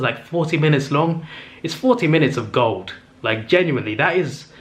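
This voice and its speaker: A young man hosting his own channel, chatting enthusiastically through a casual ranking, full of fillers and quick self-corrections, his voice a little strained as he says he is losing it. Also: on the high side, fairly bright tone. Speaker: movie review channel host. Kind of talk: casual ranking monologue to camera.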